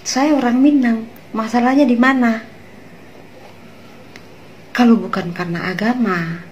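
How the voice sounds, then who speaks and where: A woman's voice: on the high side, unhurried, a little mellow, muffled recording, a little hiss or hum. A young woman from West Sumatra, speaking earnestly into a phone camera in a small room and answering critics with rhetorical questions. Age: young adult. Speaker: convert replying to comments. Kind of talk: direct-to-camera reply video. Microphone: phone at arm's length.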